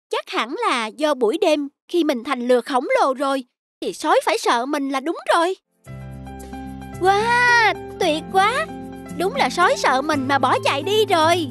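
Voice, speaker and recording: A woman voicing a naive, boastful little boy donkey in a cartoon, childlike, with music behind. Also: high voice. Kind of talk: cartoon voice acting.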